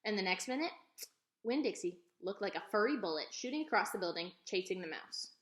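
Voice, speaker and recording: A woman reading a children's book aloud as an expressive storyteller. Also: medium pitch, brisk. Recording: echoey room.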